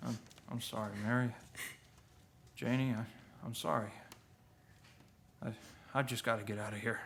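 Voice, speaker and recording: A man's voice: mid-range voice, fairly even intonation, normal speed, vocal fry, noisy background, lightly acted. A man playing a short-tempered, agitated family man under strain in a radio play. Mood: desperate, subdued. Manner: urgent, agitated, stammering.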